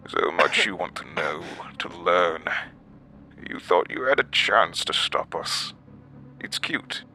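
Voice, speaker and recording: An actor playing a sadistic, gloating villain in a horror audio drama, slow, condescending and mock-gentle with menace. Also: male voice, low-pitched, expressive, a little hiss or hum, full character voice. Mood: menacing, fascinated. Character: sadistic, gloating male villain, coldly amused and controlling.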